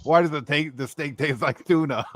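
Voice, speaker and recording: A man chatting on a live stream, low-key and given to dry jokes. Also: unhurried, background noise. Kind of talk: casual chat on a live stream.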